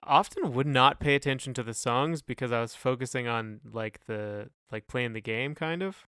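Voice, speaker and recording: A man's voice: mid-range voice, moderate pace, a bit bright, smooth, no room sound, studio quality. A man co-hosting a podcast in casual chat, talking in a hesitant, rambling way full of hedges. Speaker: podcast co-host.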